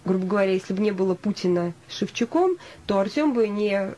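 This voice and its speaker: A woman's voice: mid-range voice, expressive, fairly quick, mellow. A woman co-hosting a radio interview, putting questions hesitantly and circling toward her point. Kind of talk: conversational live radio interview.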